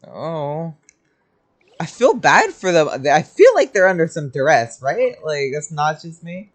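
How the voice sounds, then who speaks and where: A game streamer reacting in casual chat, expressive and slangy with some swearing. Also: mid-range voice, measured pace. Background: faint game music.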